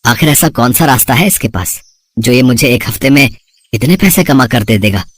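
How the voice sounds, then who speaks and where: A man voicing an earnest, worried father who runs a street food cart, gentle and reflective, in a moral story. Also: mid-range voice, animated, moderate pace, dry recording, natural voice. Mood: musing. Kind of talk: Hindi moral story voice acting.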